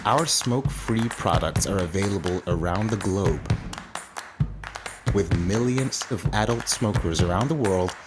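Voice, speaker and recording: A man reading a polished, scripted corporate promotional narration in an even delivery. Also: sits low, measured pace. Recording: voice-over over loud music. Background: loud music bed.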